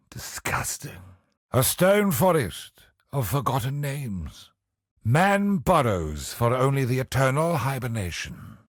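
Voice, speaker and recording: An actor playing an ancient, brooding godlike villain in a video game, speaking in grim, theatrical monologue with a husky, breathy voice and hushed phrase endings. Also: male voice, on the low side, dry recording, studio quality, heavily acted.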